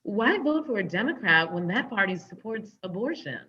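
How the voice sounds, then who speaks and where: A woman's voice: medium pitch, very animated, moderate pace, bright, forceful tone. A woman playing a candid, placating character in a comedic table read, speaking conversationally, on a slightly dull recording. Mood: friendly, confiding.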